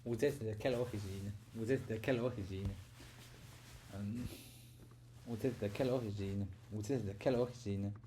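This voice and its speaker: An adult man learning French, repeating practice phrases slowly and stumbling over words as he records himself. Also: fairly low pitch, warm tone, a little hiss or hum, rough recording. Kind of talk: self-recorded pronunciation practice.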